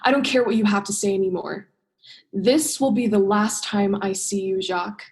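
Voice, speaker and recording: A woman's voice: mid-range voice, moderate pace, a little mellow, close to an everyday voice. A young woman acting an earnest, principled diver in a student radio play. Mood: firm, resolute. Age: young adult.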